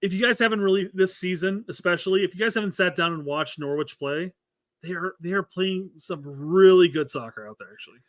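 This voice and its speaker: A man's voice: sits high, fairly quick, no echo, studio quality. A man co-hosting a casual soccer talk show, often stumbling and repeating words as he restarts. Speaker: co-host, former striker.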